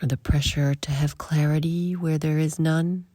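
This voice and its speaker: A woman leading a guided meditation in soft, breathy, short phrases with long pauses, often trailing toward a whisper. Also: deep voice, steady tone, no echo, studio quality.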